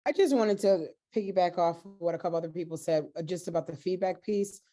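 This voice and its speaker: A woman's voice: on the low side, quick pace, warm tone, faint music behind. A woman on a group video call giving practical, matter-of-fact advice to other speakers. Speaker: participant on a training call.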